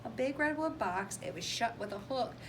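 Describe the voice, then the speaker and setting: A woman's voice: on the high side, expressive, normal speed, background noise. A woman reading a children's storybook aloud, playful, with casual asides to her co-reader.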